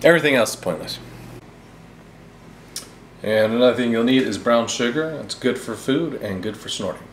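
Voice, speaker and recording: A young man hosting a mock cooking show in his home kitchen, telling absurd made-up facts about the food in a deadpan way. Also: medium pitch, normal speed. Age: young adult. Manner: deadpan, absurd tall tales told straight. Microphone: camera mic from a few feet away. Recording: echoey kitchen, distant camera mic, noisy.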